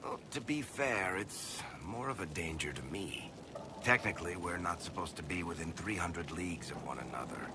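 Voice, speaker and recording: An actor playing a wry, guilt-ridden dwarf storyteller in a video game, blunt and sardonic but hesitant when pressed. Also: male voice, deep voice, animated, normal speed, noisy background. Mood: dry, sardonic.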